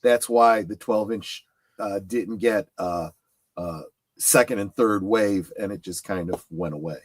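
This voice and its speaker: A man's voice: lowish voice, measured pace, no echo. A man chatting casually on a panel, explaining things in a discursive way. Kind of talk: casual panel chat about collecting.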